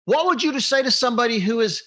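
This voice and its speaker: A man's voice: very high-pitched, expressive, quick pace, fairly bright tone. A man hosting a conversational interview, encouraging his guest and rephrasing as he goes. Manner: encouraging, rephrases and restarts questions. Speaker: interview host.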